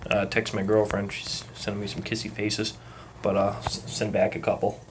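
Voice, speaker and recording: A young man with a Massachusetts accent muddled by moving around talks in a calm, relaxed rhythm. He rambles along with lots of ums and uhs and drops swear words casually. A faint hiss sits behind his voice. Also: fairly low pitch, moderate pace. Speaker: vlogger. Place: at work.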